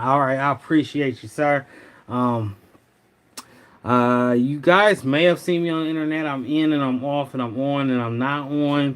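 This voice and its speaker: Laid-back middle-aged man chatting on stream. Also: mid-range voice. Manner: laid-back, rambling, talks to viewers. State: slightly hoarse. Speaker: livestream host. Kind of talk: casual livestream chat.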